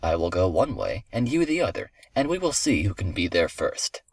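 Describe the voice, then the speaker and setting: Man as a sly, low-voiced wicked wolf. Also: very animated, moderate pace, husky, heavily acted. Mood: sly, polite. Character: wicked, wily great male wolf feigning politeness.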